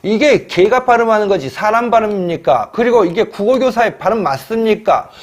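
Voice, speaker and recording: A middle-aged man lectures for exam prep in a high, animated voice that swings widely in pitch. He presses his points hard, repeats himself for emphasis and scolds his students, often stopping to clear his throat. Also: brisk. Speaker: Korean language exam lecturer.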